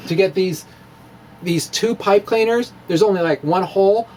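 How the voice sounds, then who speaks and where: Male science explainer, slightly high voice, jokey and rambling, informal delivery, noticeable noise on the recording. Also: normal speed, a little mellow. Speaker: presenter of a science explainer show. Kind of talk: informal math demonstration, ad-libbed. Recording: clearly noisy recording with room ambience.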